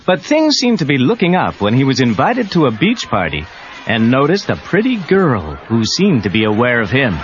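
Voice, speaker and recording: Lively male storyteller on dull cassette. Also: medium pitch, moderate pace, slight background noise. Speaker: narrator. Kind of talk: scripted children's read-along storytelling. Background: prominent music.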